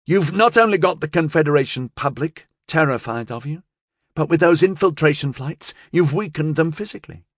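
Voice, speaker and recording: A man's voice: a little high, lively intonation, moderate pace, no room sound, studio quality, voice pushed up. A man voicing a cool, confident gangster's woman in an audiobook, speaking in a firm, assured, coaxing way. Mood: persuasive, assured.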